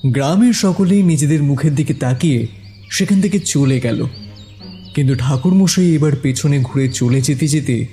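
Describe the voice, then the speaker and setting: A man's voice: a little high, normal speed, mellow, faint music behind. A man narrating a scripted horror story as its storyteller.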